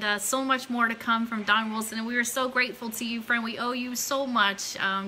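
A woman's voice: mid-range voice, pushed and bright, slight background noise. A woman casually hosting a live radio show, gushing and effusive as she talks to a guest. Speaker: radio show host and network founder.

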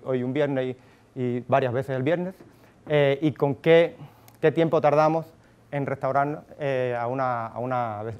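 A man's voice: mid-range voice, darkish tone, smooth, lo-fi sound. A man in his thirties from Cuba with a Cuban accent, giving a casual conference talk to a live audience on a handheld mic, full of fillers and restarts. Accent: Cuban Spanish. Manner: casual, thinks aloud with many fillers and restarts. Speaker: conference speaker. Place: conference room, audience present. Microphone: handheld microphone.

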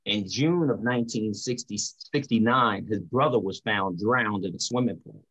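Man, middle-aged, lowish pitch, earnest preacher, emphatic repetitions, video call. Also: moderate pace, fairly bright tone, breathy edge, highs cut off. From North Philadelphia, United States. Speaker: preacher and motivational speaker. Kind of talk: casual discussion in an online panel. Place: small room at home or office, indoors. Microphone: video call computer mic.

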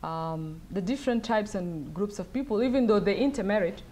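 A middle-aged woman from South Sudan answering audience questions at a lectern, speaking thoughtfully with many pauses and fillers. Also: medium pitch, mellow. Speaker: guest speaker. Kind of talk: lecture Q&A answers. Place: wood-paneled event room, at a lectern. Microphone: lectern mic.

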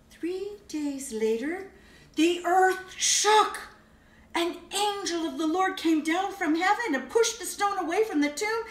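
An older woman giving a children's message, gently and expressively telling a picture-book Bible story in a living room. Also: high voice, a little hiss or hum. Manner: slow, gentle and expressive, like reading aloud to children. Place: living room, seated in an armchair. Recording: echoey room, distant mic.

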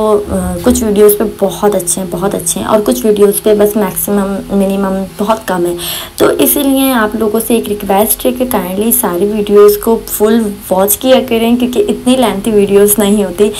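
A woman's voice: medium pitch, a little mellow, low-quality recording. A young woman, chatting casually in a voice-over for her home cooking vlog, rambling with many fillers and religious phrases. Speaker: cooking vlogger. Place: home kitchen. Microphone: voice-over recorded separately.

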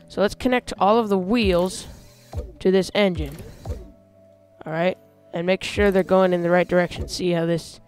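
A man hosting a casual gameplay video, talking through how he builds a vehicle, with excited yelps when he drives it. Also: high voice, clear, resonant tone. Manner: chatty, explains while building, excited yelps when driving. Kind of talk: casual let's-play commentary.

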